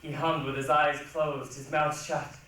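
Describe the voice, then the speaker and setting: A man's voice: a little high, little pitch movement, measured pace, sharp tone. A man giving dramatic scripted narration in a readers' theatre style. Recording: echoey hall, distant mic, faint noise.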